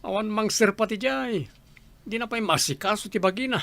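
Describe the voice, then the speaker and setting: A man's voice: high-pitched, normal speed, creaky, pushed and bright, full character voice. A man playing an irritable, stubborn husband in a radio drama, speaking dismissively with an Ilocano accent. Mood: grumbling. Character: irritable, stubborn husband who left his family.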